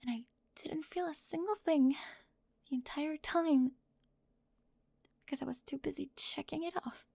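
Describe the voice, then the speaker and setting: A woman performs a high-strung teenage cheerleader girl in an ASMR roleplay. Her voice is high with plenty of fry, recorded very dry. She is defensive and emphatic, slipping into sighs and breathy whispers as she gets flustered. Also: a little slow, pauses often, dark tone, dry recording. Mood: upset, confessing. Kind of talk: ASMR audio roleplay acting.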